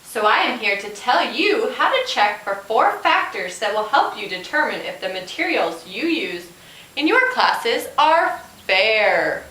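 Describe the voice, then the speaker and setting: A woman's voice: on the high side, sing-song, a little slow, pushed and bright, exaggerated voice. A woman voicing a helpful police officer puppet, giving a scripted, instructive talk to a class. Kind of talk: scripted educational puppet show. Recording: roomy, distant microphone.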